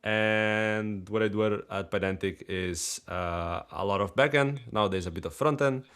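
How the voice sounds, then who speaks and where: A man from Brazil, speaking English with a Brazilian accent as a podcast co-host, easygoing and quick to laugh, with hesitant restarts. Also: lowish voice, a bit bright, clean tone. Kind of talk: casual chat.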